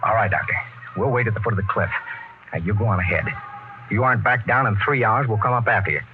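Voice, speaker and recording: An actor playing a grave, level-headed expedition commander who narrates and speaks in a measured 1950s radio drama style. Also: male voice, on the low side, a little hiss or hum, close to an everyday voice. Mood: firm, decisive. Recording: tinny vintage radio recording with music behind.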